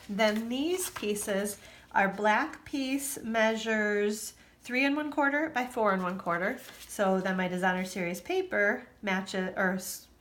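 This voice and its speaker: A woman's voice: mid-range voice, a bit bright. Woman, nasal and congested, casual craft demonstrator, slight background hiss. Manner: chatty, with fillers and asides. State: stuffy, nasal from allergies. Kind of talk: casual tutorial.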